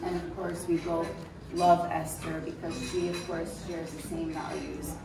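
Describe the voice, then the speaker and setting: A woman giving a heartfelt speech at a gala, introducing a guest with many pauses and restarts. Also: low voice, level tone, gravelly. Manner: warm-hearted, halting with restarts. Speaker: gala speaker. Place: gala event hall. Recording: echoey hall, distant mic, noisy.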